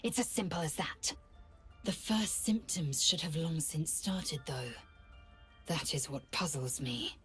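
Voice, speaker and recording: Low, very husky alien warrior woman. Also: measured pace, faint music behind, exaggerated voice. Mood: grim, stern. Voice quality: husky, raspy. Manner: clipped, disdainful, commanding. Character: stern, haughty alien githyanki warrior woman. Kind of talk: fantasy video game acting.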